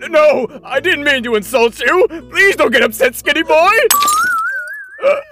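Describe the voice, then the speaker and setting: Flamboyant teasing villain, wildly animated. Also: male voice, extremely high pitch, soft background music, full character voice. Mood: mock apologetic. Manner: theatrical, taunting, drawn-out.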